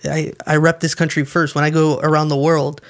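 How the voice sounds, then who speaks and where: A man's voice: on the high side, level tone, a little fast. A man from Canada with a Canadian accent, chatting casually as a podcast co-host. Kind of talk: casual group chat about soccer.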